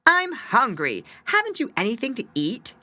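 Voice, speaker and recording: A woman's voice: fairly high pitch, huge pitch swings, moderate pace, pushed and bright, dry recording, pitched above the performer's own voice, full character voice. A woman voicing a mean, greedy, fat male cat in a storybook, boastful and menacing, drawing out his words. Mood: hungry, demanding.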